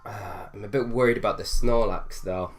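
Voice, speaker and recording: A man's voice: on the low side, steady tone, normal speed, soft background music. A young man with a slightly nasal voice from a cold, casually commentating on his own gameplay and thinking aloud with fillers. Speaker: gaming video host.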